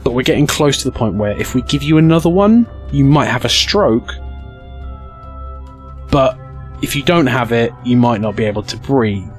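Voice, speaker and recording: Animated British man telling comedic stories. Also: mid-range voice, normal speed, soft background music. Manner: acts out dialogue with excited outbursts; crude, self-mocking humour. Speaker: streamer telling stories to live chat. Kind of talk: animated casual comedic storytelling.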